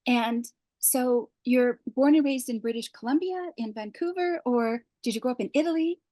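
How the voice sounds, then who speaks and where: A woman's voice: sits high, moderate pace, dark tone, no room sound. A woman hosting a podcast interview, gracious and affirming as she asks long questions. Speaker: podcast host.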